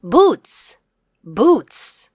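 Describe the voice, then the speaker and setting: High, lively woman teacher reading aloud slowly. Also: no room sound, studio quality. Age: middle-aged. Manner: clearly enunciated, repetitive teaching delivery. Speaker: English teacher and read-aloud host. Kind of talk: children's read-aloud lesson.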